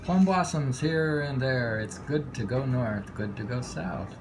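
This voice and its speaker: A man reads poems aloud at a slow, unhurried pace in a creaky, fairly low voice. He pauses to reflect and adds casual, musing remarks. A clear rumbling noise sits behind him. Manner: musing, informal, pausing to reflect. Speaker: poetry reader. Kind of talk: reading haiku aloud with casual commentary.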